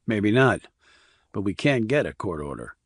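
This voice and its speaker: A low-pitched, terse military investigator, acted by a male audiobook narrator, speaks in clipped, direct questions and keeps probing. The sound is dry and close. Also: sing-song, moderate pace, husky, dry recording, heavily acted. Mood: probing, matter-of-fact. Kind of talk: audiobook character dialogue.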